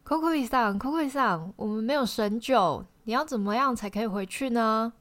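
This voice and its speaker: A woman's voice: fairly high pitch, a little fast, background noise, lightly acted. A woman playing an alarmed, bossy schoolgirl who scolds urgently in a horror radio play. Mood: nervous. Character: alarmed bossy schoolgirl trying to keep control.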